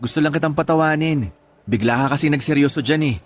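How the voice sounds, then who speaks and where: A man's voice: fairly high pitch, fast, a little hiss or hum, natural voice. Man as a charming, teasing younger suitor, radio drama. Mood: joking. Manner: smooth, joking, flirtatious.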